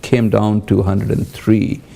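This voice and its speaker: Male TV interviewer, low gravelly voice, emphatic questioning. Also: little pitch movement, dark tone. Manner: emphatic, drawn-out questions with numbers. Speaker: TV host.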